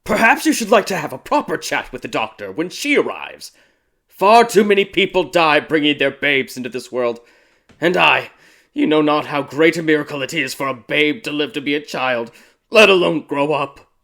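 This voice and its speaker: A man voicing a stern, irritable king and grieving father with a rigid, domineering manner, in a dark fairy tale. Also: on the high side, animated, normal speed, gravelly, pitched above the performer's own voice. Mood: shouting angry. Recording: background music behind the voice.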